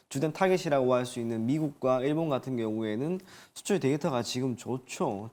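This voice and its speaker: Male stock analyst, mid-pitched voice. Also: a little mellow. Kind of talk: live stock commentary.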